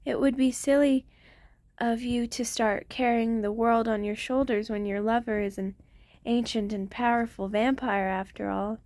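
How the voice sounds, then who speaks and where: A woman playing an ancient, protective vampire queen in an intimate ASMR roleplay, speaking tenderly and softly to her lover in formal wording. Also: fairly high pitch, fairly even intonation, normal speed, no room sound, lightly acted. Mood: reassuring, affectionate.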